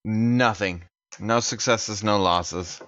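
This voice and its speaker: A man's voice: fairly low pitch, slow. Guy playing a tabletop game, casual, kinda muffled mic with some hiss.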